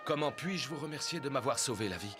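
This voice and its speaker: An actor playing a humble, grateful Hebrew slave with a meek manner in a dubbed animated film. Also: male voice, mid-range voice, fast talker, music in the background, lightly acted.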